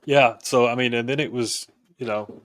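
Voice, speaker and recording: A man's voice: mid-range voice, fairly even intonation, moderate pace, a bit bright, no room sound. A man speaking as a podcast guest, telling a personal story casually in a reflective, somewhat hesitant way.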